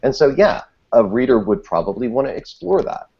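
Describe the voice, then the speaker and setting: A man's voice: medium pitch, big pitch swings, fairly quick, creaky, dark tone, breathy edge, highs cut off. A man talking casually and articulately about writing as a podcast guest, in wordy, playful phrasing. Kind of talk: casual discussion of fiction writing.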